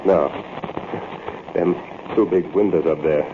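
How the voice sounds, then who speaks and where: An actor playing a jumpy thief accomplice who speaks in short, uneasy remarks, in a 1940s radio drama. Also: male voice, sits low, fairly even intonation, slow pace. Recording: tinny, noisy old radio recording.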